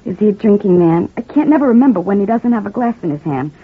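Brassy wife on tinny vintage radio. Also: female voice, mid-range voice, animated, fast, slightly rough. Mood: plaintive, fretful. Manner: streetwise slangy, ungrammatical talk. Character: brassy, scheming working-class wife, sweetly feigning victimhood. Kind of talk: old-time radio crime drama acting. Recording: muffled, phone-like vintage radio recording.

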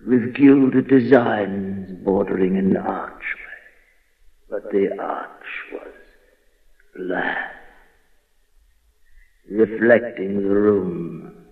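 An actor playing a tormented, horrified outcast in a Gothic radio monologue, halting and theatrical, repeating himself in anguish. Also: male voice, fairly low pitch, narrow, tinny audio. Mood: haunted, hushed. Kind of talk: dramatic radio horror monologue. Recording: radio recording with long hall reverb.

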